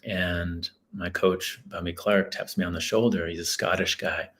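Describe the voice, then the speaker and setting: A man's voice: low-pitched, fairly even intonation, normal speed, fairly bright tone. A man, a guest author telling a story in an earnest, reflective way. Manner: earnest, reflective, many restarts. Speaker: guest, author and school leader. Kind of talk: storytelling in a podcast interview.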